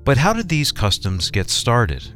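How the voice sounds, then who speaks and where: A man's voice: mid-range voice, huge pitch swings, measured pace, over music. A man reading scripted promotional narration as a radio announcer.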